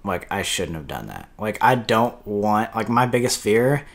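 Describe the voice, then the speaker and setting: A man's voice: lowish voice, big pitch swings, measured pace. A man in his early twenties with an American accent, co-hosting a podcast in a casual, informal conversational style. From Kansas, United States. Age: early 20s. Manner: informal, uses "like" often. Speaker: podcast co-host.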